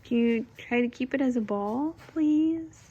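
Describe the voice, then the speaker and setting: A woman in her thirties reading a storybook aloud in an animated, drawn-out way, breaking off to chat with young children. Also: a little high, slightly rough, lo-fi sound. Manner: animated, drawn-out delivery with exclamations. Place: at home among young children.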